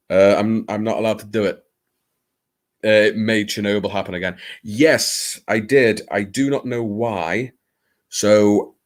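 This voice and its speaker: A man with a fairly low voice hosts a casual live stream. He talks to viewers in a theatrical, playful way, dropping jokey asides and the odd swear word. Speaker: livestream host. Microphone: streaming microphone, not his usual video mic.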